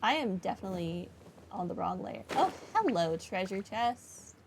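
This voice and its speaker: A woman's voice: mid-range voice, sing-song, moderate pace, noisy background. A woman hosting a casual game playthrough, rambling along in a self-deprecating way. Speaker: gaming host. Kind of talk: casual playthrough commentary, reading dialogue aloud.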